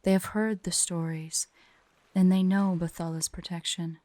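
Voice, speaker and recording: A woman voicing a proud, wistful dragon in an ASMR roleplay, speaking in a formal, measured way with breathy, hushed drops. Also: on the low side, little pitch movement, dry recording, lightly acted. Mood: solemn storytelling. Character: proud, wistful female dragon hoarding treasure, tsundere. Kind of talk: ASMR roleplay with slow myth storytelling.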